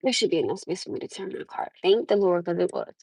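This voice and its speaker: A woman's voice: lowish voice, lively intonation, fast talker, a little mellow, breathy edge, no echo, studio quality. A woman from the United States chatting live with her viewers from her parked car on a phone camera, laughing often and calling them "my love.". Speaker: livestream host. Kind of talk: casual live chat with viewers.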